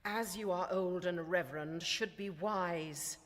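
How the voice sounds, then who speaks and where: An actress playing a cold, haughty royal daughter in classical Shakespearean radio drama, speaking with formal, measured reproach. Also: female voice, fairly low pitch, close to an everyday voice. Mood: stern reproach. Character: cold, haughty royal daughter scolding her aged father. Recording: reverberant hall-like radio drama sound.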